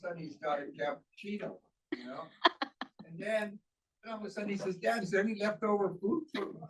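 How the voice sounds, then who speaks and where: A man's voice: high voice, fast, low-quality recording. Joking Canadian man telling a family story. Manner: storytelling, joking, softly spoken. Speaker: audience member at conference. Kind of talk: humorous personal anecdote.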